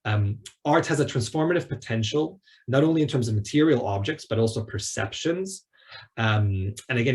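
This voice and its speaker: A man speaking as an academic panelist, giving measured, analytical prepared remarks on a video call. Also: mid-range voice. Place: online webinar.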